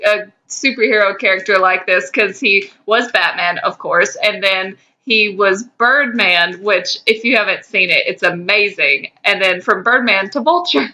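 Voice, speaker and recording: A woman co-hosting a casual podcast, playful and expressive, laughing often. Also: medium pitch, a little slow, few pauses. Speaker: podcast co-host. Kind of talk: casual pop-culture chat.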